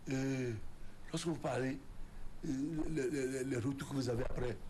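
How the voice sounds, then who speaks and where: Gravelly-voiced man, emphatic. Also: mid-range voice, fairly even intonation, moderate pace, a little mellow, slight background noise. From Benin. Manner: emphatic, declarative, stumbling restarts. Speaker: political guest, resigned deputy. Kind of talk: televised political debate. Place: TV studio.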